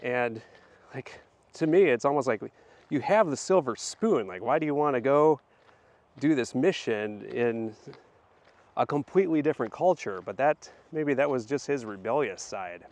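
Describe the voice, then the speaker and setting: Hoarse man rambling in a casual vlog. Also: medium pitch, animated, moderate pace, a little mellow. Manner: rambling, many fillers and restarts. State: hoarse, voice deepened by illness. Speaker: channel host. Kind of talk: casual walk-and-talk vlog.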